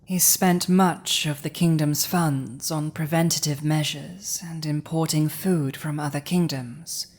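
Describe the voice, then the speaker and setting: A woman voicing a commanding villainous female dragon in a dramatic audio roleplay, speaking deliberately with weighty pauses. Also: sits low, steady tone, darkish tone. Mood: stunned, piecing together. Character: villainous commanding female dragon, intense yet softening to compassion.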